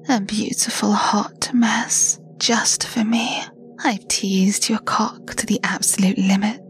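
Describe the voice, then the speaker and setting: A woman delivering a scripted intimate roleplay monologue, mostly in a slow teasing whisper with breathy sighs, close to the microphone. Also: medium pitch. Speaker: erotic audio performer.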